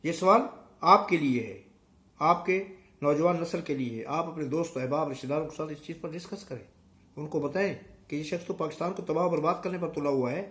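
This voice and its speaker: Casting: middle-aged man, Pakistani accent, fairly high voice strained by a sore throat, emphatic political vlogger addressing viewers off the cuff. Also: a little fast, fairly bright tone. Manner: emphatic, rhetorical questions and repetition.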